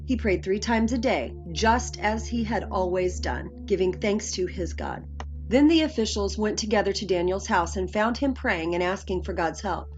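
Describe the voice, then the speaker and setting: A woman's voice: mid-range voice, expressive, moderate pace. A woman reading a Bible story aloud as a scripted narrator, on slightly muffled audio. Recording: muffled audio with faint music.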